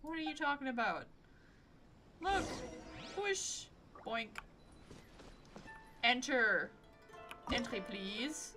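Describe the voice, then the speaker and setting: Slow-paced woman game streamer, higher voice. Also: sing-song. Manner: playful, short offhand reactions, swears. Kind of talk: casual live game commentary. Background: game music.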